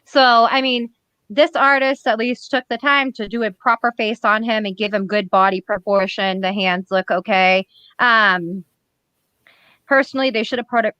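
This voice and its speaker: Brief: blunt Boston woman co-host, bright and fairly high voice, stammers and laughs a lot, dry lo-fi mic. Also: clear, resonant tone, dry recording. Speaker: podcast co-host. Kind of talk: casual critique chat.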